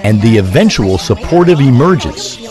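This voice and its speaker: A man's voice: medium pitch, sing-song, normal speed, darkish tone. A man narrating a scripted audio drama as a theatrical, wordy storyteller.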